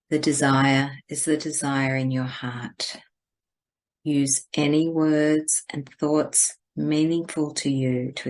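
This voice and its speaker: A woman with an exceptionally low voice guides a spiritual meditation. She speaks slowly and deliberately, giving gentle instructions in a measured way.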